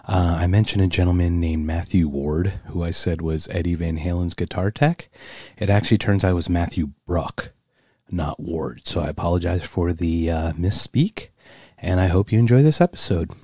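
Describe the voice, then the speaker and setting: A man's voice: low-pitched, normal speed, dark tone, breathy edge, dry recording. A man hosting a podcast, chatting casually and telling stories in a playful, joking way. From Philadelphia area. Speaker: podcast host.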